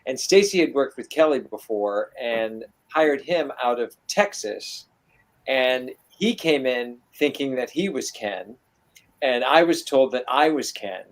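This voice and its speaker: A man chatting at a reunion and telling animated stories about old castmates, acting out what people said. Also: medium pitch, a little slow, low-quality recording. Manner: animated storyteller, acts out quotes. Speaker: guest, former performer. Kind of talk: casual reunion chat.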